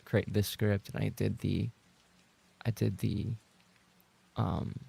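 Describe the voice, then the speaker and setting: A man chatting casually as a livestreamer, rambling and trading playful banter with his viewers, in a gravelly, husky voice. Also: lowish voice, steady tone, takes it slowly, mellow. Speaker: livestreamer and ASMR voice actor reading chat.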